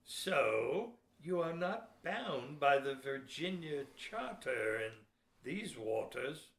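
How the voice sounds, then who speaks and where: An actor playing a cunning, gruff seventeenth-century English ship's captain in an audio play, declaiming emphatically in archaic speech. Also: male voice, on the high side, sing-song, slow pace, a bit bright, exaggerated voice. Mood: rousing, sly. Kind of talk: old-fashioned radio play acting. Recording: roomy, echoey audio drama recording.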